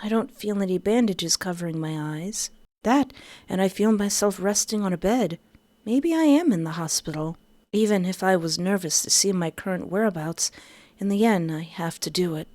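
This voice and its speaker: A woman's voice: medium pitch, normal speed, a little mellow, no echo. A young woman reading a visual novel aloud and breaking in with playful, joking asides. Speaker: game streamer.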